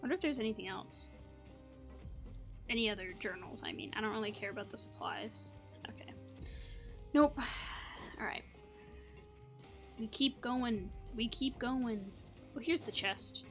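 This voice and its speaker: Gaming host: woman, fairly high voice, casual think-aloud commentary, playful asides, music underneath. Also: a little slow, long pauses. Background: game music.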